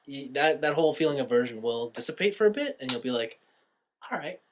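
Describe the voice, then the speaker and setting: A young man co-hosting a podcast, telling stories in an animated, slangy, casual way. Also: medium pitch, fast talker, slight background noise. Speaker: podcast co-host. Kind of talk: casual chat.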